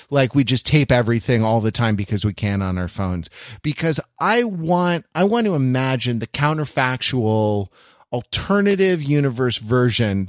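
A man's voice: medium pitch, no room sound, studio quality. A man hosting a podcast in a casual, humorous chat, rambling wryly through mock-earnest digressions. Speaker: podcast host.

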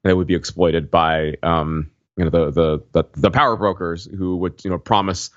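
A man's voice: sits low, steady tone, normal speed, no room sound, muffled recording. A man co-hosting a podcast, chatting loosely and searching for the right words. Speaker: podcast co-host. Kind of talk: casual chat.

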